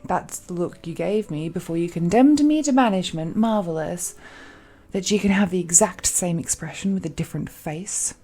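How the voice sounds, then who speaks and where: A woman's voice: lowish voice, normal speed, mellow, natural voice. A woman playing a possessive, seductive ancient supernatural villainess in a romantic audio roleplay, speaking in a coaxing, condescending way with hums and sighs. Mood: cold, bitter.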